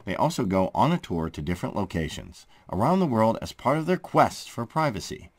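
Deep-voiced male news narrator, very lively pitch. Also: brisk, no room sound. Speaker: host of a royal news channel. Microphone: close voice-over microphone.

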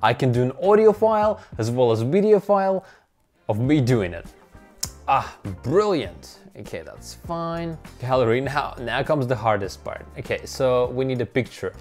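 A man's voice: medium pitch, lively intonation, moderate pace, darkish tone, soft background music. Young Russian-accented man vlogging. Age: young adult. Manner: upbeat, chuckling, self-mocking. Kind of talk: casual vlog narration. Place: small bedroom, indoors. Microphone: clip-on lapel mic.

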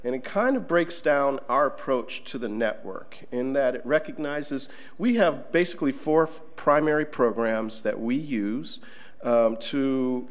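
A middle-aged man giving a conference presentation in a casual, conversational way, with fillers, at a table microphone in a conference room. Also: medium pitch. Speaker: conference speaker.

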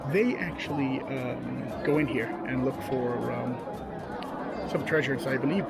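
Chatty man vlogging outdoors with music. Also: mid-range voice. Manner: chatty, hesitant with many fillers. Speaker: travel vlogger. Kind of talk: casual travel vlog narration. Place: outdoors at desert ruins and rock canyon. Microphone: handheld camera at arm's length. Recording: music playing over it.

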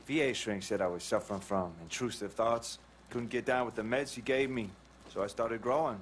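An actor playing a scruffy, profane young American hippie veteran, slangy and colorful, in a video game. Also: male voice, mid-range voice, brisk, a little hiss or hum, natural voice. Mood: easygoing. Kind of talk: video game cutscene acting.

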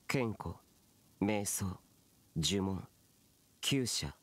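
Low-voiced, solemn young immortal guardian. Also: male voice, animated, extremely slow, no room sound, full character voice. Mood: solemn, instructive. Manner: curt, grave, stern. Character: solemn, aloof immortal warrior guardian, young-looking man. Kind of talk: Japanese anime game voice acting. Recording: game music behind.

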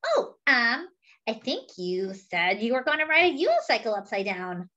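A woman's voice: a little high, big pitch swings, normal speed, sharp tone, lo-fi sound. A woman voicing a small, sly monkey trickster in a children's picture book, giggling teasingly before making suggestions.

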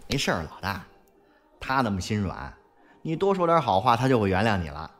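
A man's voice: lowish voice, sing-song, fairly quick, background noise. A man playing a loyal, anxious underling of a boss in an audio drama, deferential and fretful. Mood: reassuring. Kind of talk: audio drama acting in Mandarin.

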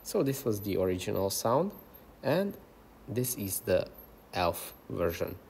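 A man hosting a video tutorial, narrating software steps to his viewers. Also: on the low side, sing-song, measured pace, gravelly, slight background noise.

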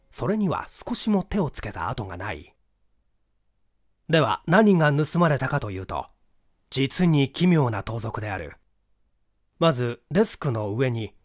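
A man narrating a mystery story from a script in an expressive storytelling manner. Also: fairly high pitch, no room sound, clean studio sound. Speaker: audiobook narrator, stage actor.

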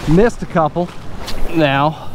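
Young man, fishing vlogger, fairly high voice, chatty self-narration on a beach, clear wave noise behind. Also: takes it slowly. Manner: excited, talking to himself between casts. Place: open beach at the surf line, outdoors. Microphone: wearable action camera. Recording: outdoor wind and surf noise. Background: surf and waves.

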